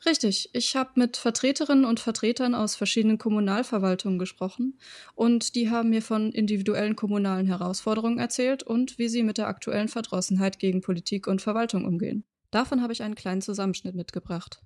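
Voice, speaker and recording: A woman podcast reporter introducing interviewees and reading scripted narration clearly. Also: medium pitch, fairly even intonation, fast, a little mellow.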